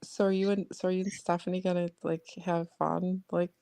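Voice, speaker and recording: A woman calling in to a radio show who asks questions haltingly, often repeating and restarting her words. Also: fairly low pitch, little pitch movement, gravelly, mellow, a little hiss or hum. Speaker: caller. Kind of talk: casual call-in radio chat.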